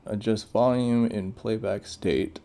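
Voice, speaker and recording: Low, mellow-voiced man, casual reviewer. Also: fairly even intonation, slow, gravelly. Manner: laid-back, off-the-cuff reactions, informal slang. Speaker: tech reviewer. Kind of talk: casual unboxing commentary.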